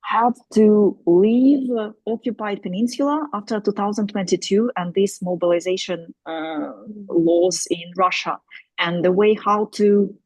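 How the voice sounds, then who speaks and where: Hesitant husky woman searching for words. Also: medium pitch, unhurried, a little mellow, no room sound, low-quality recording. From Crimea. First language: Crimean Tatar. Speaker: audience member asking a question. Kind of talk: spontaneous discussion remarks.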